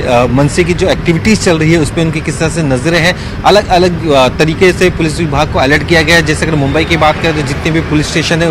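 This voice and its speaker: Guy doing a live news report outside, highish voice, traffic noise, rambly and a bit halting. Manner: hesitant, wordy, repeats stock phrases. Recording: clear outdoor traffic noise.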